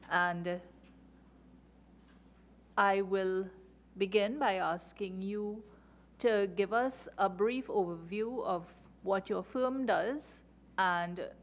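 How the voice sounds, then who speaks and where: A woman chairing a parliamentary committee hearing, formal, brisk and courteous. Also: medium pitch, gravelly.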